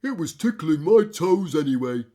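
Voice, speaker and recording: A woman voicing a kind giant in a children's storybook, with a booming, drawn-out, sing-song delivery. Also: expressive, mellow. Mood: hearty, encouraging. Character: kind, cheerful giant who sings to himself.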